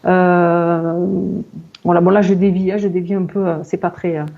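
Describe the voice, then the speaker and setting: A woman in her forties giving a halting personal account in conversation, searching for words and often repeating herself. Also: lowish voice, flat delivery, mellow, dull audio. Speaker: client in a hypnosis session interview.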